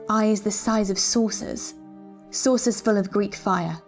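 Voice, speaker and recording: A woman's voice: mid-range voice, steady tone, slow, a little mellow, faint music behind. A woman reading literary fiction aloud as a dramatic storyteller.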